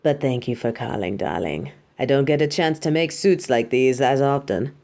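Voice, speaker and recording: A woman's voice: rumbling low, animated, moderate pace, a little mellow. A woman playing a proud, exacting yet fond superhero costume designer in a scripted roleplay, speaking in a grandiose, dramatic way. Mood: resigned, fond. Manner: grandiose, dramatic, addresses listener as darling. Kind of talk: scripted roleplay audio monologue.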